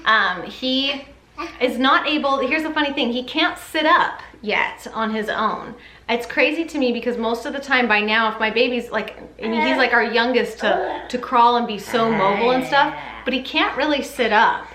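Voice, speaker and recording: Female parenting vlogger, chatty and informal, bright tone, roomy echoing sound. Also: on the high side, normal speed. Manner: chatty, informal, often says "like". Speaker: parenting vlogger giving a baby update. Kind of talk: casual chat to camera. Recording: echoey room with faint background noise.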